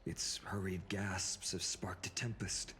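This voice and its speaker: An actor playing a solemn, eloquent young male leader in a video game, with a measured, formal, oratorical manner. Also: deep voice, fairly even intonation, sharp tone, a little hiss or hum, heavily acted. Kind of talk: scripted video game voice acting.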